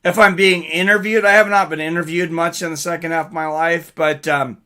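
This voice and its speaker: A middle-aged man hosts a casual livestream about fights. His voice sits a little high and has a bright, forceful edge. He rambles conversationally, answering viewers' comments as he goes. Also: fairly even intonation, brisk.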